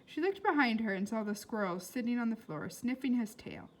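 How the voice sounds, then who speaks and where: Expressive woman reading aloud, lively pitch. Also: brisk, low-quality recording. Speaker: read-aloud reader. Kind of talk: expressive storybook narration with casual asides and questions to listeners.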